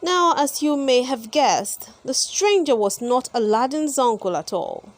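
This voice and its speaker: A woman with a high voice reads a children's storybook aloud. Her pitch moves up and down in a lively way, and she takes her time, placing deliberate, dramatic emphasis on the words. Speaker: storybook reader and channel host. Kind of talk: expressive children's read-aloud narration.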